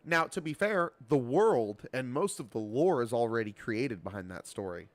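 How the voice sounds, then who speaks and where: A man's voice: medium pitch, expressive, normal speed. A man of twenty-seven chatting casually on a game livestream, bantering playfully and swearing freely. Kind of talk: casual livestream chat while gaming.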